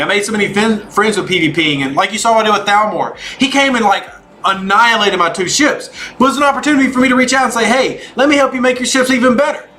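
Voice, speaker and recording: A man's voice: high voice, pushed and bright, a little hiss or hum. A man hosting a game livestream, chatting casually and informally as he answers viewers by name.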